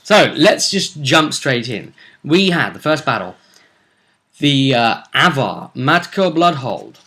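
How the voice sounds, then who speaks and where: A man's voice: mid-range voice, sing-song, slow, pushed and bright. A man, hosting a vidcast and giving a chatty, casual recap of a tabletop role-play tournament. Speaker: vidcast host.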